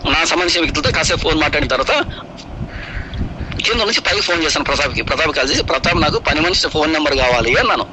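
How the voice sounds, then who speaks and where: A man tells a story casually in an animated, explanatory way, repeating phrases and acting out reported dialogue on a noisy call recording. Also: on the high side, very fast. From Kadapa, Andhra Pradesh, India. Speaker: pastor.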